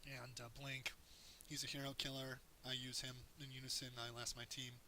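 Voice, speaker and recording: A man with a hoarse voice, casually talking through a strategy game as he plays it, explaining tips in a loose, rambling way. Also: medium pitch, little pitch movement, moderate pace, bright, forceful tone, slight background noise, rough recording. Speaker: gameplay commentator. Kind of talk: casual game tutorial commentary.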